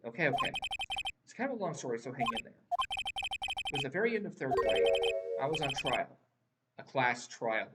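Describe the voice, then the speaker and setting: A woman voicing an earnest, loyal young male defense attorney from a video game, in a measured way. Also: rumbling low, sing-song, far from the mic, loud music behind the voice, pitched below the performer's own voice. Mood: explanatory.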